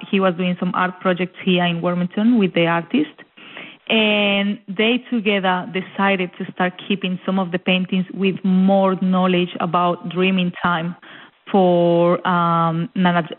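A woman's voice: lowish voice, little pitch movement, unhurried. A young woman from Colombia with a Colombian accent, a guest in an interview who talks eagerly and repeats words as she searches for them. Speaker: guest, cultural centre officer. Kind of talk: casual interview chat. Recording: tinny phone line.

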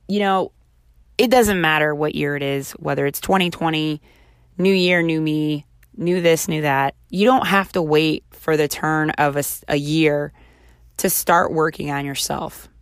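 A woman with a raspy voice recovering from laryngitis, chatting casually and encouragingly on her solo podcast. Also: low-pitched, no room sound, studio quality. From Houston, Texas. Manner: chatty, encouraging, self-reflective. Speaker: podcast host.

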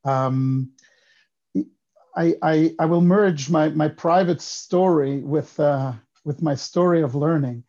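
A man in his fifties from Switzerland speaks as a podcast guest, with a somewhat higher voice for a man and a gravelly, husky edge. His tone is dark and mellow, and he tells his story in a reflective, unhurried way. The sound is dull and lo-fi, like a remote call. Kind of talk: casual interview talk.

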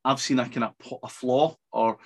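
A man co-hosting a casual business podcast, relaxed and agreeable, with a fondness for old sayings. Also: mid-range voice, normal speed. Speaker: podcast co-host.

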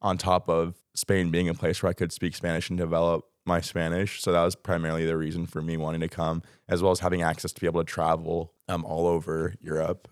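A man in his twenties with a Texan American accent, talking casually as a guest about student life, relaxed and rambling with lots of "like" and "um". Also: deep voice, fast, few pauses, no echo, studio quality. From United States. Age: 20s. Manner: relaxed, rambling with many likes and ums. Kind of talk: casual interview chat.

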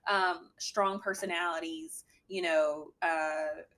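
A young adult woman with a slightly deep voice, a guest talking candidly with a dry sense of humor. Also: steady tone, takes it slowly. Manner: candid, dry humor, mimics a bright work voice. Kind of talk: casual chat.

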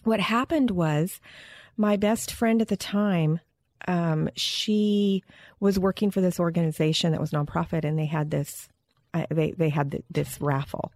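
A woman hosting an interview in a casual, chatty and expressive way, with an informal storytelling style. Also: lowish voice, a little slow, no room sound. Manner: informal, expressive, acts out quoted dialogue. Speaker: interview host. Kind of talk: casual chat, animated anecdote telling.

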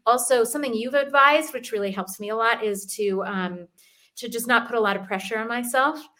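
A woman's voice: a little high, brisk, bright, forceful tone. A middle-aged woman, a fitness expert, speaking as an interview guest in an earnest, chatty way with frequent ums and restarts. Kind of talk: casual interview talk.

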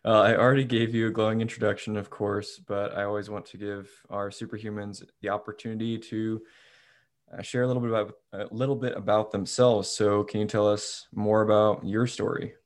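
A man hosting an interview podcast, introducing his guest and asking questions in a friendly, upbeat way with quick asides. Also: on the low side, flat delivery. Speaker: podcast host.

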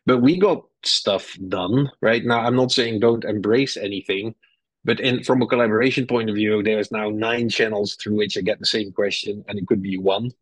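A man's voice: fairly low pitch, fairly even intonation, moderate pace, dry recording, low-quality recording. A middle-aged man with a Dutch accent, a marketing executive talking candidly as an interview guest, blunt and rambling with many restarts. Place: lives in Silicon Valley.

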